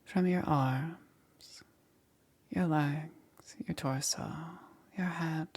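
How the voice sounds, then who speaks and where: Slow, breathy, low-voiced witch played by a woman. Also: darkish tone, full character voice. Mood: careful, attentive. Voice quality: breathy, husky, often whispering. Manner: slow, hesitant, soothing. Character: soft-spoken witch tending a patient, gently secretive. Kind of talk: intimate ASMR roleplay.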